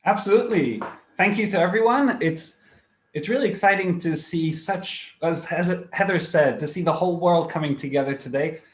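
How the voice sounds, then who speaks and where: A man's voice: on the high side, normal speed. Belgian man in an echoey room. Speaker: panelist, language center director. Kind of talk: self-introduction on a panel.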